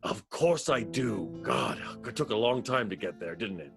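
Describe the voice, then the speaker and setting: A man's voice: on the low side, big pitch swings, normal speed, gravelly, music in the background. An actor playing a scheming, villainous man in an improvised radio play, bossy and exasperated.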